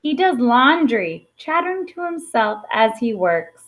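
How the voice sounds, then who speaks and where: A woman reading a children's picture book aloud expressively, with pauses for emphasis and small asides. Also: a little high, very animated. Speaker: storybook reader. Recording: dull, lo-fi sound.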